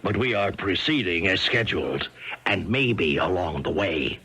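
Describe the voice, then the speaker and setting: An American actor playing a calm, firm, sceptical army captain in a 1970s radio drama. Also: male voice, low-pitched, a little slow, breathy edge, full character voice. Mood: doubtful, calm. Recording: vintage radio broadcast with music.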